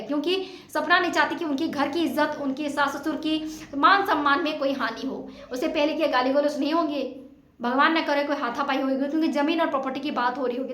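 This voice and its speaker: A woman's voice: high voice, a bit bright. A woman giving informal opinion commentary to viewers in an emphatic, indignant way, often posing rhetorical questions. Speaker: vlogger commenting on a family dispute. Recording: echoey untreated room sound.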